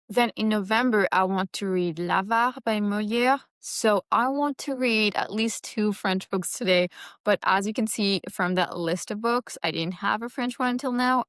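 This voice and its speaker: A woman's voice: mid-range voice, a bit bright, clean tone, no echo, clean studio sound. A young woman, a Creole speaker, chatting casually about her plans and thinking aloud with a light, self-deprecating touch. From Mauritius. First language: Mauritian Creole. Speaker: book vlogger. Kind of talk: casual reading-plans chat.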